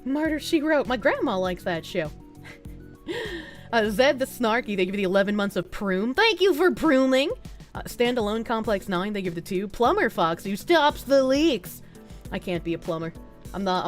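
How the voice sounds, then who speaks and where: A woman with a mild Boston accent, talking loosely to her live chat. She rambles, swears freely and restarts her sentences, at an ordinary pitch for a woman. Soft music plays faintly behind her. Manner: rambling, frank, profane, with frequent restarts. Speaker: livestreamer. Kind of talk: casual live-stream chat reading donations.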